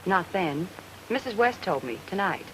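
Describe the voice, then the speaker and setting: A woman's voice: lowish voice, expressive, moderate pace, a little hiss or hum, lightly acted, rough recording. Anxious noir woman, played by an actress, swooping voice. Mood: frightened, defensive. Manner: pleading, defensive. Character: anxious, defensive young woman caught in a murder. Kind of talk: old time radio noir acting. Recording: old radio recording with music.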